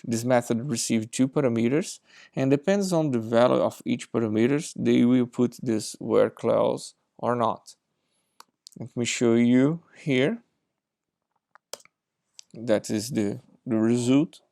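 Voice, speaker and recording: Man, Brazilian accent, gravelly voice, dry sound, hesitant software demo talk. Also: mid-range voice, no echo. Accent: Brazilian Portuguese. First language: Portuguese. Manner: hesitant, many fillers, non-native grammar. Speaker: software engineer presenting a project demo. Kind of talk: recorded demo presentation. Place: indoors at a desk with a laptop.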